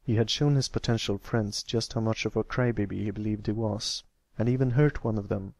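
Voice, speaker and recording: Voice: medium pitch, fairly even intonation, a little fast, darkish tone, no room sound. An amateur reader giving a scripted narration of a story.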